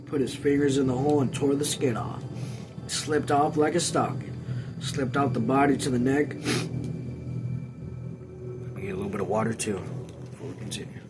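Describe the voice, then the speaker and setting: A man's voice: medium pitch, normal speed, long pauses, rough recording. Man reading a novel aloud over music. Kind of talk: read-aloud narration with casual asides. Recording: music behind.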